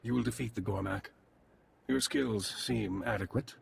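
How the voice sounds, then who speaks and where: A man's voice: lowish voice, moderate pace, creaky, a little hiss or hum. A man playing a grim, war-weary alien squad leader in a video game, speaking tersely and solemnly, with long reverb and music. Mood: grave.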